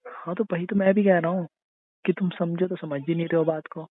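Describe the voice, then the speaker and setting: A man's voice: fairly high pitch, little pitch movement, a little fast, no echo, close to an everyday voice. A man playing a teasing young husband in intimate casual banter, coaxing and insisting playfully. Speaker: husband in a couple's call. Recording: tinny narrow phone-call sound.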